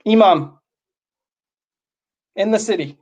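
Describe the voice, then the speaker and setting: A man's voice: sits high, slow pace, a little mellow. A man from Canada, a guest on a livestream, speaking directly and emphatically. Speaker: guest, youth advocate. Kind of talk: livestream conversation.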